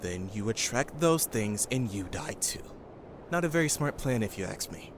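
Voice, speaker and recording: A man's voice: lowish voice, animated, moderate pace, background noise. A man playing a guarded, stern young survivor in an audio drama, serious, terse and unamused. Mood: firm, threatening.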